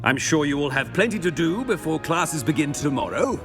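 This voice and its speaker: An actor playing a stern, testy British school headmaster in a fantasy game, pompous and authoritative, in a reverberant hall. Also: male voice, on the high side, sing-song, normal speed, music in the background. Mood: stern, impatient.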